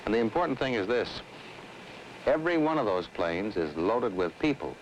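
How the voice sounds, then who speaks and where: A man playing an earnest 1960s American air traffic official in an educational film. His low voice moves in lively swings as he explains things in measured, affable fashion. The old soundtrack carries faint noise. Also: close to an everyday voice, low-quality recording. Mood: earnest explaining. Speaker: actor as air traffic specialist. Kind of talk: scripted 1960s educational film dialogue and narration.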